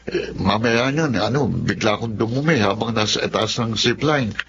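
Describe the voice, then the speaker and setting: A man's voice: lowish voice, moderate pace, a bit bright. An actor playing an evasive young Filipino man in a naturalistic TV drama, with a hesitant, stammering way of talking. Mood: sheepish excuses. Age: young adult. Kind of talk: naturalistic television drama acting. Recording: dull audio with faint background noise.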